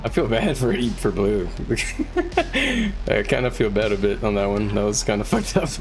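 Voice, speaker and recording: A man talking casually as a game livestreamer, halting as he thinks aloud and gives advice to viewers. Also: fairly low pitch. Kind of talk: casual live gaming commentary, advising chat. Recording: loud music behind the voice. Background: music.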